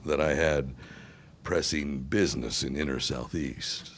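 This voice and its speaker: A man's voice: very deep voice, a little slow, slight background noise. A man acting an evasive, scheming philandering businessman of the 1910s in an audio drama, with a theatrical, defensive, exasperated manner.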